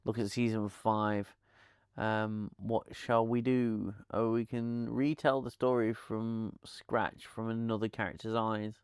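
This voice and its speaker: A man's voice: on the low side, little pitch movement, slow pace, smooth, dry recording, studio quality. A man hosting a casual live-stream chat, rambling and laughing with many restarts, on a lavalier mic at home. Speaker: channel host.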